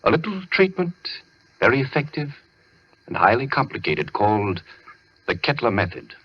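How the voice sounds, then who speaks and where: A man playing a sinister asylum doctor, polite and deliberate with faint menace, in old-time radio thriller style. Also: medium pitch, big pitch swings, slightly rough. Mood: quietly menacing. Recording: muffled vintage radio recording.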